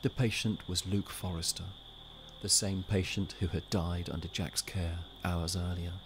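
Low-pitched male audio drama narrator. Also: fairly even intonation, a little slow, noisy background.

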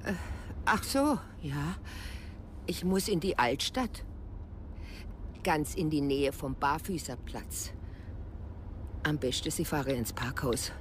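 A woman's voice: sits low, slow, pauses often, a little hiss or hum. An actress playing an older widowed businesswoman in a radio drama, proper, evasive and strained. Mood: evasive, placating. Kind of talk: naturalistic radio drama acting.

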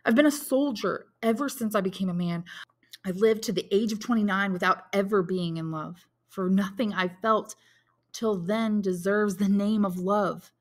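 A woman's voice: mid-range voice, moderate pace, pitched above the performer's own voice, lightly acted. A woman reading aloud and voicing a lovesick young male soldier in despair, speaking with raised, impassioned pleading. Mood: anguished.

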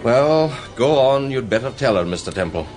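A man's voice: medium pitch, animated, normal speed, a little mellow, soft background music, natural voice. An actor playing a frank, affable businessman with an English accent in a radio drama. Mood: sheepish.

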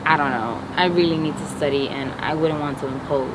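A reserved young Cuban exchange student in a web drama, acted by a woman. Her voice sits a little low and she speaks in a guarded, hesitant way. Clear location noise runs behind her. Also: steady tone, a little fast, natural voice. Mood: guarded, polite. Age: young adult. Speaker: actor in a web series. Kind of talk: naturalistic short-film acting.

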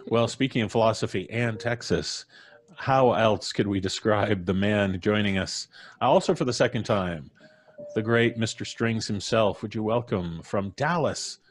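Middle aged north american guy hosting a livestream, lowish voice, big showy intros. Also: unhurried, slight background noise. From Canada. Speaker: podcast host. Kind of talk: live stream hosting, introducing guests. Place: small room, indoors. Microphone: studio microphone on boom arm, headphones.

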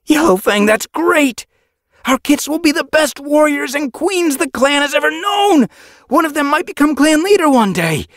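A man's voice: sits low, big pitch swings, a little fast, few pauses, fairly bright tone, slightly rough, dry recording, clean studio sound, full character voice. A man voicing a proud, hot-tempered male warrior cat in an audiobook story, with intense, emphatic speech. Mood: elated.

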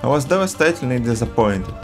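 A man from Russia, narrating a travel film in an earnest, measured voice-over. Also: mid-range voice, lively intonation, music in the background. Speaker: travel vlogger.

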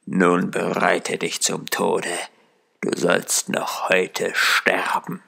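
A man voicing a menacing, commanding, murderous husband in a fairy tale, speaking slowly and threateningly. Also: low-pitched, completely flat delivery, gravelly, sharp tone, husky, exaggerated voice. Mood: grim. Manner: slow, threatening, angry.

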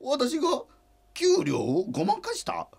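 A man's voice: very high-pitched, wildly swooping pitch, unhurried, vocal fry, a little mellow, no room sound, exaggerated voice. An actor playing a sheepish, well-meaning middle-aged company president in a comedic radio drama, stammering and repeating his words when flustered. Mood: flustered denial.

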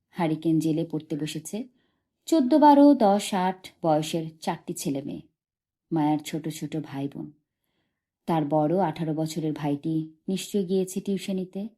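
A woman's voice: on the low side, mellow. A woman narrating a short story as an audiobook reader, expressively and from a script.

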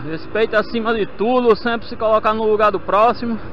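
A man's voice: sits high, fairly even intonation, a little fast. Young male motovlogger, talks casually to viewers as he rides, dull helmet-mic sound with faint road noise. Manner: chatty, addresses viewers as family. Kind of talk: casual chat while riding. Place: on a motorcycle in city traffic, outdoors.

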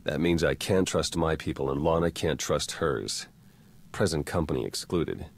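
A man's voice: very deep voice, normal speed, creaky, breathy edge, exaggerated voice. An actor playing a wry, confident young male secret agent in a video game, talking casually with dry wit. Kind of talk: scripted video game cutscene acting.

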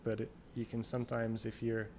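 A man's voice: medium pitch, monotone, a little fast, darkish tone. A man being interviewed on a call, talking in a rambling way that thinks aloud and restarts often. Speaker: guest, champion water skier. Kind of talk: casual interview conversation. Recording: remote call recording with faint noise.

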